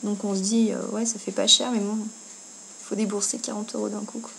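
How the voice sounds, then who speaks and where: A woman's voice: mid-range voice, level tone, a little fast, vocal fry, a bit bright, low-quality recording. A woman giving a casual step-by-step makeup tutorial, talking informally as she works. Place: indoors at home. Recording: noticeable background noise, amateur home video.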